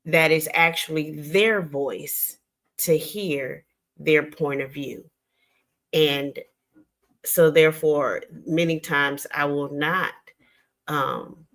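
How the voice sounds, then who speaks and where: An older woman hosting a casual late-night livestream, talking in a slow, reflective, rambling way with many pauses. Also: sits low, a bit bright. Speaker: livestream host.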